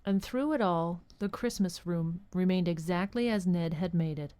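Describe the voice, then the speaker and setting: A woman narrating an audio drama as a gentle, earnest storybook storyteller. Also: lowish voice, moderate pace, clean tone, dry recording, lightly acted. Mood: warm storytelling. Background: music.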